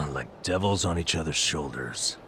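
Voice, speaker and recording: A laid-back video game sidekick with a very low voice. He talks in casual, wry slang with a teasing edge. Music is clearly heard behind him. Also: lively intonation, measured pace, fairly bright tone, full character voice. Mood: concerned. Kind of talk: video game cutscene acting.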